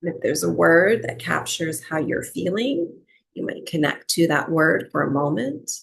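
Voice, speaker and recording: A woman teaching breathwork, guiding a meditation in short, unhurried phrases with long pauses between them. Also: low voice, big pitch swings, gravelly, husky, rough recording. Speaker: breathwork and yoga nidra teacher. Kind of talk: guided meditation, slow soothing instruction.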